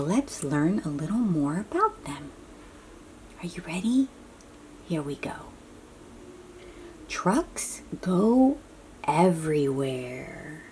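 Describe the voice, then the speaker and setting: A woman reading a picture book aloud to young children as host, putting questions to them and explaining things simply, often dropping into a breathy near-whisper. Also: fairly low pitch, mellow, slight background noise.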